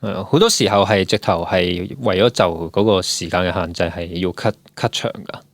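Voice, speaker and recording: A man's voice: sits low, normal speed, no room sound. A man with a Hong Kong Cantonese accent chatting casually as a podcast co-host. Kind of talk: casual chat.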